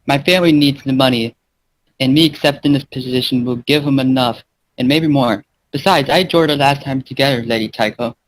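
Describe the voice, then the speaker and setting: A man's voice: medium pitch, a little fast, a little hiss or hum, lightly acted, rough recording. Man playing polite, devoted young servant. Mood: warm, courteous. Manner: courteous, deferential. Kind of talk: fan fiction character reading.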